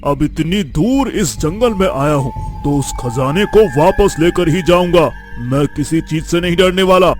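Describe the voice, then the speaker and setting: A man acts out a fearless young village hero for a cartoon tale. His voice sits a little high, and he speaks in earnest, declaiming lines with stubborn confidence. Some music plays underneath. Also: lively intonation, a little slow, hardly any pauses, darkish tone. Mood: bold, defiant. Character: determined, boastful eldest son, fearless young village man. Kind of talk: dramatic Hindi cartoon story dialogue.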